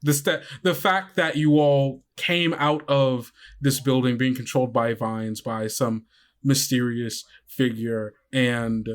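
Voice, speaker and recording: Slow, halting male game master narrating a tabletop game. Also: medium pitch, steady tone. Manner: halting, many fillers and restarts.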